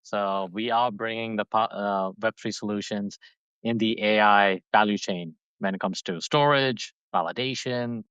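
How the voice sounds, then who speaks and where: A man's voice: on the low side, fairly even intonation, normal speed, a bit bright, smooth, no room sound, dull audio. A man from Dubai in his thirties, a podcast guest talking casually and rambling, with lots of fillers and restarts. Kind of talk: casual interview talk.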